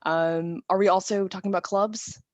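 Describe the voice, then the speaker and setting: A woman's voice: medium pitch, fairly even intonation, normal speed, a little mellow, no echo, muffled recording. Casual young woman on a call. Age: young adult. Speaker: student panelist. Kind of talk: online panel introduction.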